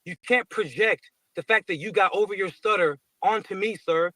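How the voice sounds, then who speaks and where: A man on a podcast panel, talking defensively and slowly, with careful pauses. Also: sits high, fairly bright tone, no room sound, poor audio. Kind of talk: heated podcast panel talk.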